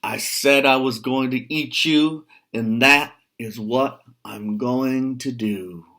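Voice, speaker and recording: A big, hungry storybook troll acted out by a man reading aloud roars in slow, thundering threats. The delivery is drawn-out and menacing, stretching the words for weight. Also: mid-range voice, sharp tone. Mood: roaring, menacing.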